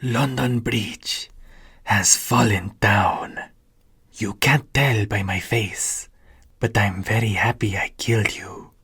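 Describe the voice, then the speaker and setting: Deep, rasping broken rabbit robot. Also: male voice, fairly even intonation, slow pace, a bit bright, dry recording, studio quality, exaggerated voice. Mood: eerie, gloating. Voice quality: husky, rasping. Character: broken, sinister old rabbit animatronic, eerily playful. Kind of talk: video game character voice lines.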